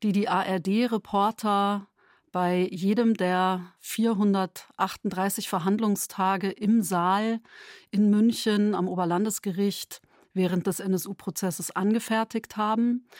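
A woman's voice: medium pitch, darkish tone, dry recording, studio quality. A woman explaining her work as a dramaturge in an interview statement. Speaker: chief dramaturge.

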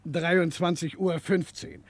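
A man's voice: fairly high pitch, steady tone, slow. An actor playing a deferential, jumpy night watchman in a German radio play, hesitant and eager to please. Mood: apologetic, dutiful.

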